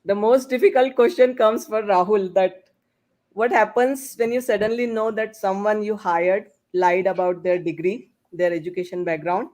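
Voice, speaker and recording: A podcast host moderating a panel discussion, speaking in a hesitant, halting way. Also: mid-range voice, steady tone, a little mellow.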